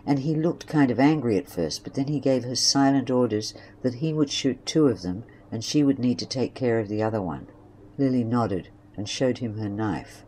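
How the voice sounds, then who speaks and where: Mellow, low-voiced woman narrator. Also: slight background noise, poor audio. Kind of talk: scripted story narration.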